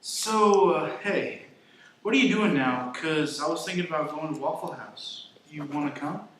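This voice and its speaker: Off mic in a very echoey room, a man plays an awkward young sound guy. His voice is fairly high and hesitant, in amateur radio drama style over faint noise. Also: normal speed, fairly bright tone, distant mic, natural voice. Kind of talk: amateur radio play acting.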